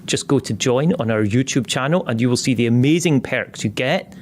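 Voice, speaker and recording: A man's voice: medium pitch, expressive, fairly quick, darkish tone, a little hiss or hum. A man with a Scottish accent hosting a podcast interview, chatty and joking, with some repeated false starts. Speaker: podcast host.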